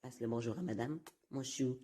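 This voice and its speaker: A husky, brash male cartoon street hustler acted out by a man, teasing and sarcastic in his flirting. The pitch sits in the middle for a man. It sounds like an echoey amateur dub made at home. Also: moderate pace, mellow, soft background music, natural voice. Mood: mocking.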